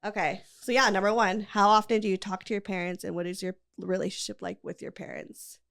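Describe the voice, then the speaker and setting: A woman's voice: mid-range voice, flat delivery, fairly quick, vocal fry, a bit bright. A woman hosting a podcast, chatting casually and rambling with lots of fillers and giggles. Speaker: podcast host. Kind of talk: casual chat.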